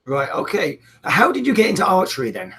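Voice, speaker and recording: A man hosting an online tech meetup, talking casually with a croaky voice and a joking manner. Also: high-pitched, wildly swooping pitch, a little fast, pushed and bright. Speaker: host.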